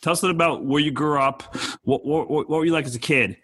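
Husky, forceful podcast co-host. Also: male voice, fairly high pitch, fast. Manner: jokey, upbeat, repeats words when starting. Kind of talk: casual interview chat.

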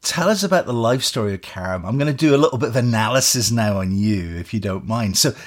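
A man's voice: on the low side, lively intonation, quick pace. A man hosting an interview, enthusiastic and effusive with praise, often saying "you know". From immigrant to Canada. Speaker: interview host.

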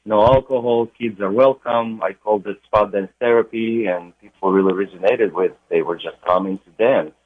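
A young man with a Ukrainian accent talks at an easy pace, filling his pauses with "uh". His voice sits a little low. It comes through a narrow, tinny phone-like line. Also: no room sound. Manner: unhurried, with frequent uh pauses. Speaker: DJ and radio guest. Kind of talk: interview conversation.

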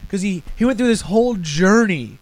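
A man's voice: sits high, expressive, moderate pace. Loose-talking male podcast host. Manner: loose and profane, trailing off and restarting. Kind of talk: casual podcast chat.

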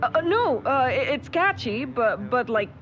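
A woman's voice: high voice, sing-song, normal speed, faint music behind. A woman acting in an audio drama as a snarky, sleepy young photographer, sardonic and given to flustered hedging. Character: snarky, sleepy young photographer called Daniel, grudgingly friendly. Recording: dull audio under loud vehicle noise.

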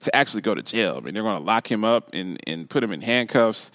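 A middle-aged man hosting a casual conversational interview, speaking haltingly and repeating words as he thinks. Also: on the low side, animated, fairly bright tone, no echo, studio quality. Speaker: podcast host.

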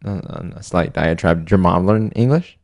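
A man's voice: low voice, moderate pace, creaky, darkish tone, dry recording, studio quality. An American man interviewing casually, with a slangy, laid-back and affirming way of talking.

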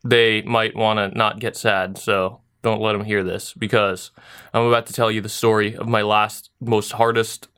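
A man's voice: fairly low pitch, little pitch movement, moderate pace, a bit bright, clean tone. A young man co-hosting a podcast, telling a story in a loose, joking way and laughing often. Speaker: podcast co-host. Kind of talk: casual podcast chat.

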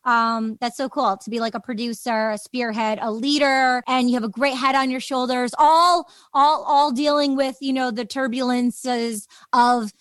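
A woman's voice: a little high, fairly even intonation, normal speed, hardly any pauses, a bit bright, smooth, dry recording. A woman hosting a casual conversational podcast interview, speaking in an effusive, encouraging way. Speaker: podcast host.

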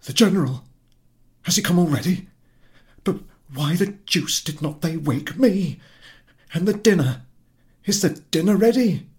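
A man voicing a flustered, cowardly country gentleman in a story, blustering, bossy and full of panicky exclamations. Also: sits high, animated, a little slow, long pauses, pitched above the performer's own voice. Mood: dismayed.

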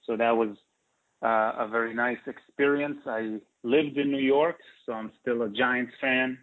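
A man's voice: mid-range voice, steady tone, unhurried. Man in his forties, phone-thin. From Israel. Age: 40s. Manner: hesitant, many fillers. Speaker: interview guest, company co-founder. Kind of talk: interview conversation. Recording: tinny phone-like line.

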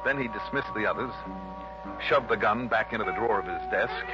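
An actor playing a shrewd, skeptical male investigator in a 1960s radio drama, brisk, probing and wry. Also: lowish voice, loud music behind the voice, close to an everyday voice. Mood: brisk narration. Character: shrewd, skeptical male insurance investigator narrating his case. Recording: dull, humming early-1960s radio recording.

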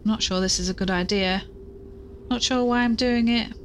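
A woman's voice: a little high, fairly even intonation, moderate pace, close to an everyday voice. Anxious, determined actress as a woman, noisy recording. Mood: tired, uneasy. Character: exhausted, anxious but determined woman recording an audio log. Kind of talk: audio drama acting, spoken field log. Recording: clearly noisy outdoor recording with crickets.

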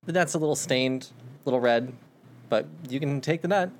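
A man running a tabletop game as game master, halting and searching for words. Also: medium pitch, slight background noise.

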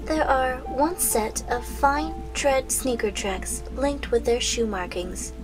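A woman as a slow, high-voiced female AI assistant. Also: expressive, over music. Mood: emotionless, obedient. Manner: stilted, formal, robotic phrasing. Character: literal-minded female AI tracking assistant, unfailingly polite. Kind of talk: scripted audio drama acting.

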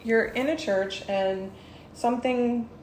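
Higher-voiced woman co-host reacting. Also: steady tone, slow pace, a little mellow. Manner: supportive listener, short reactions and laughs. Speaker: podcast co-host. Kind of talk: casual chat. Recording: echoey room with faint noise.

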